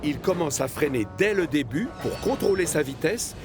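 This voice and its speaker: Conteur de dessin animé expressif. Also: male voice, fairly high pitch, lively intonation, normal speed. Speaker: narrator. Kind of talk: scripted storybook narration. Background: music.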